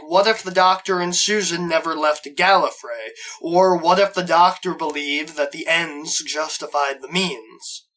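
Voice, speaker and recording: A man's voice: high voice, bright, forceful tone, rough recording. A man hosting a scripted review, narrating with a fan's enthusiasm and wry asides. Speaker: host, reviewer.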